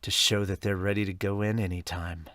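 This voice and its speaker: A man voicing earnest high school baseball players, reading manga dub dialogue in a plain manner. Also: little pitch movement, fairly quick, a bit bright, no echo. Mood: matter-of-fact.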